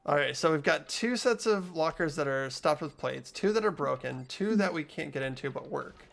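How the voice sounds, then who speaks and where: Animated, casual game player. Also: mid-range voice, normal speed, creaky. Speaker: playthrough player. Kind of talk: casual gaming chat. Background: faint game music.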